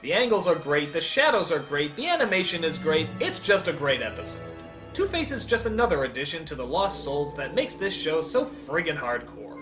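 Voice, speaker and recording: A man's voice: a little high, a little fast, loud music behind the voice. A man narrating a scripted comedic review in an emphatic, exclamatory way. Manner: animated, emphatic, exclamatory, profane. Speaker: video reviewer and countdown host.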